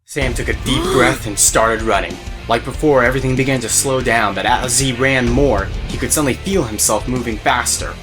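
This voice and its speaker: A male narrator reads a scripted adventure story. His voice sits at a medium pitch. Music plays clearly behind him. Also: moderate pace, few pauses.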